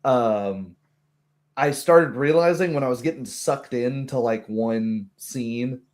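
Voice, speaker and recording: Man, mid-pitched voice, casual discussion, reflective game master, acts out little asides. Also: takes it slowly. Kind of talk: casual chat.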